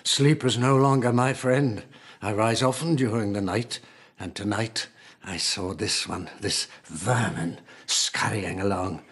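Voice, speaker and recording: An actor playing an old, dying lord and conspirator in a radio drama, urbane and formal, courteous but menacing. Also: male voice, lowish voice, a bit bright, breathy edge. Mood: coldly composed. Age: older. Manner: urbane, measured, formal, faintly mocking.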